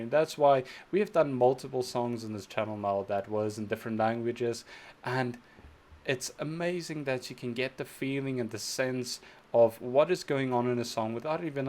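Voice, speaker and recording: Young male reaction host, gushing and enthusiastic, average pitch. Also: a little mellow. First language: Afrikaans. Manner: effusive, enthusiastic, thinks aloud with restarts. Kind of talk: casual reaction commentary.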